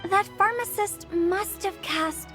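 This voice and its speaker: A woman's voice: very high-pitched, takes it slowly, a bit bright, soft background music, heavily acted. A woman playing a quirky, playful young funeral parlor director in a video game, breezy, teasing and theatrical. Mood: chatty, explanatory. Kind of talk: video game character acting.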